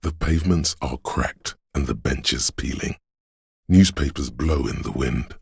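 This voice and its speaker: An actor playing a brooding, mystical inner voice that senses a city, narrating a game in solemn, measured, ominous poetic prose with a gravelly, husky voice. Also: male voice, extremely low pitch, darkish tone, dry recording, studio quality, heavily acted. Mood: melancholy, atmospheric. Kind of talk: scripted literary game narration.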